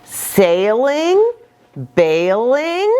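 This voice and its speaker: Animated woman hosting children's storytime. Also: high voice, extremely slow, clear, resonant tone. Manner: animated, addressing young children with questions. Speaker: children's ministry host and pastor. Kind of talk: children's storytime, read-aloud with asides.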